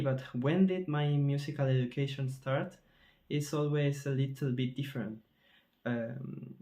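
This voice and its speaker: A man from Chile, a composer answering interview questions thoughtfully, often pausing and searching for words. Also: a little high, warm tone. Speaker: composer in residence.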